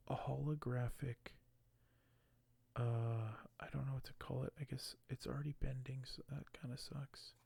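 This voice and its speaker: A man doing ASMR in a soft, hushed, often whispered voice, halting and unhurried as he shows items and reads aloud close to the microphone. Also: medium pitch, creaky, slightly rough, no echo. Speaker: ASMR video creator. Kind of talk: ASMR unboxing and reading aloud.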